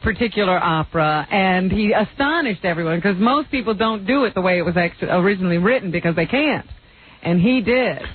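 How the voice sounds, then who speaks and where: A woman's voice: medium pitch, lively intonation, fairly quick, slight background noise. A woman co-hosting a radio show, chatting casually, laughing often and teasing her partner. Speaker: radio news co-host. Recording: tinny phone-like radio recording.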